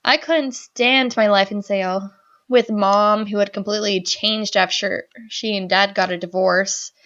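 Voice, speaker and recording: A woman with a Southern American accent reading the narration of a lonely young female college senior searching for her dream, in a quiet, reflective way. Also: medium pitch, steady tone, a little slow, lo-fi sound, close to an everyday voice. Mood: wistful. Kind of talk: reading game text aloud.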